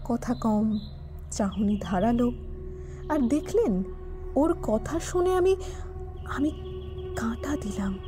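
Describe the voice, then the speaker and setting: A woman playing an uneasy, intrigued investigator with a hushed, breathy dramatic delivery in an audio drama. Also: fairly high pitch, expressive, takes it slowly, long pauses, over music. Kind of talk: dramatic audio story acting.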